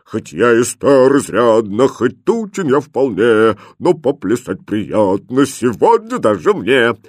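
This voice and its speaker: Guy doing a gruff fat old kitchen chef, booming bass, grumbly storybook type, dry recording. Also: big pitch swings, slow pace, dry recording. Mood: merry, booming. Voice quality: thick bass voice. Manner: loud, blustering, groaning. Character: stout old gruff head cook, blustering, grumbling but kindly.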